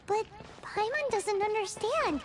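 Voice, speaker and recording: Squeaky, excitable little fairy girl. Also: measured pace. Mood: puzzled, amazed. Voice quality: squeaky, childlike. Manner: refers to self in third person, exclamatory. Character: tiny excitable childlike female fairy companion. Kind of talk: video game character acting. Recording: loud game music behind.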